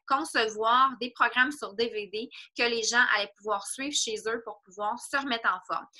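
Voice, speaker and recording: A woman's voice: fairly high pitch, bright, forceful tone. A woman from Quebec with a Québécois French accent, an online wellness coach presenting a business opportunity on a video call, chatty with many fillers and restarts. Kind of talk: video call information session. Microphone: computer mic on a video call.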